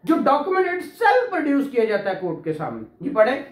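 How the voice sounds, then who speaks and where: A man's voice: very high voice, huge pitch swings, fairly quick, reverberant. A man teaching law to a class, quizzing students, repeating key terms and praising answers. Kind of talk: classroom law lecture with questions.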